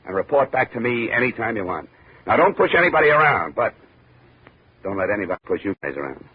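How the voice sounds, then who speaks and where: An American actor playing a brisk, hard-boiled male investigator in 1950s radio drama style, clipped and wry. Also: lowish voice, big pitch swings, husky. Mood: brisk, commanding. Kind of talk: 1950s radio detective acting, first-person narration. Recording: muffled old radio recording, faint music.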